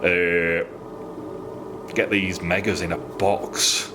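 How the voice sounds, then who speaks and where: A man's voice: deep voice, little pitch movement, extremely slow, background noise. A middle-aged man casually chatting in his workshop, rambling and enthusiastic as he shows off the exhausts he makes. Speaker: motorcycle exhaust maker. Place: indoor workshop.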